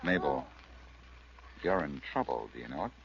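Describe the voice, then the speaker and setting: An actor playing a confident, wisecracking reformed crook turned sleuth in a 1940s radio drama, with a cool, glib delivery. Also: male voice, a bass voice, sing-song, normal speed, gravelly, heavily acted. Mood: cautioning. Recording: muffled, hissy vintage radio recording.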